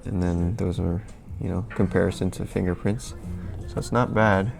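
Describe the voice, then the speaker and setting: A man with a very low, gravelly voice talks loosely on a livestream, rambling and answering viewers' questions. He sounds a little sniffly, and faint music plays behind him. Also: normal speed. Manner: relaxed, rambling, reads and answers chat. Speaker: livestream host. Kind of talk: casual livestream chat.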